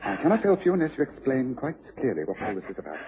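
An actor in a radio drama playing a courteous, commanding British gentleman detective with a brisk, precise, solicitous manner. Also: mid-range voice, wildly swooping pitch. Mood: concerned, brisk. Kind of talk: BBC radio drama acting. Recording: noisy, muffled old radio recording.

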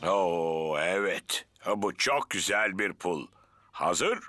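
A man voicing an authoritative character in a dubbed children's cartoon, with measured, deliberate delivery. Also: deep voice, animated, over music. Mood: pleased.

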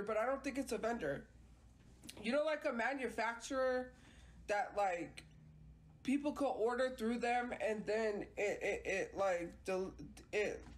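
Slow, congested woman rambling on a livestream. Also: mid-range voice. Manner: rambling, hesitant, many restarts. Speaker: live streamer. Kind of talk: casual livestream chat. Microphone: phone.

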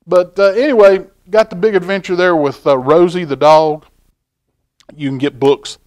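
A middle-aged man with a somewhat high voice hosts a children's storytime. He reads expressively and talks to young listeners in a folksy way, often repeating a phrase to drive it home. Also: steady tone, moderate pace, darkish tone. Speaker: library storytime reader, stand-in host. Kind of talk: animated picture-book read-aloud with asides to children. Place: indoor library room, seated at a table.

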